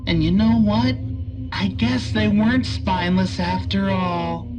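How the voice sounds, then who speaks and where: A woman's voice: mid-range voice, highs cut off, music in the background, natural voice. A woman voicing a gleeful, sadistic man-eating cartoon cat who toys with prey in a playful, taunting theatrical monologue. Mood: gleeful menace. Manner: playful, taunting, drawn-out menace with sudden swoops. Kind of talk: theatrical villain monologue, character voice lines.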